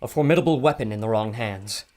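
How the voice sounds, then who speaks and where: A man's voice: fairly low pitch, quick pace, a little mellow, close to an everyday voice. An actor playing a scholarly male archaeologist who explains things in a learned way. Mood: explaining.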